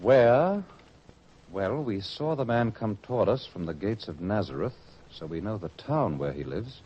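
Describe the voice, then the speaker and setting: A low, husky-voiced disciple, eager and insistent. He speaks emphatically in a theatrical biblical radio play, recorded with a dull old-radio sound. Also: lively intonation, a little slow. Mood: agitated. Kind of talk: old-time religious radio drama acting.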